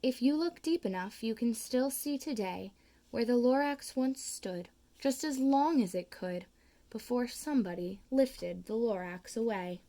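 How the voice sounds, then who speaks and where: A woman reading a children's picture book aloud, slow and expressive, with big swings in pitch on key words.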